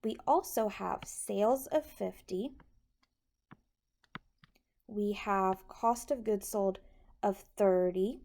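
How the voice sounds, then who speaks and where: A woman's voice: mid-range voice, slow pace, vocal fry, long pauses, mellow, slight background noise. A woman instructor patiently explaining a tutorial step by step, often checking that the listener is following. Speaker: accounting instructor.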